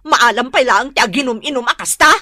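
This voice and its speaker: A long-suffering wife in a radio drama, pleading and scolding. Her voice is very high and piercing, full of plaintive emotion. The recording is dry and close. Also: a little fast, sharp tone, no room sound. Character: aggrieved, pleading wife of a drunken gambler. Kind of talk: Filipino radio drama acting.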